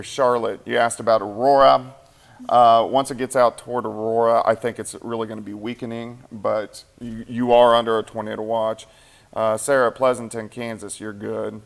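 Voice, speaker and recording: A man's voice: mid-range voice, gravelly. A man doing live late-night weather coverage in a TV studio, talking casually and thinking aloud as he reads viewer comments, tired and hungry. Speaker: meteorologist. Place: TV station weather center. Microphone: shared mic.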